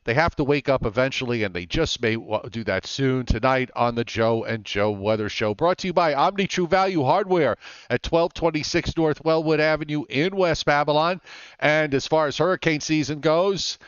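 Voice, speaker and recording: Chatty guy hosting a weather show, smooth voice, dry muffled sound, lots of uhs. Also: on the high side, normal speed, dry recording. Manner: chatty, teasing, frequent fillers. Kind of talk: casual live weather talk.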